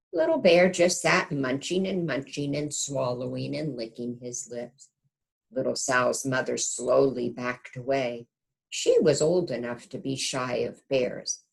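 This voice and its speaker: A woman reading a storybook aloud to young children in an expressive teacher's manner, pausing to check in with the listeners. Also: a bass voice, creaky.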